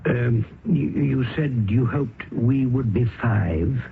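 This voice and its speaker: A man's voice: mid-range voice, slow pace, vocal fry, narrow, tinny audio, a little hiss or hum. An actor playing a worldly, dryly ironic male writer who also narrates a radio drama, on an old broadcast recording. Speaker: narrator and character. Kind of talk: 1970s radio drama acting.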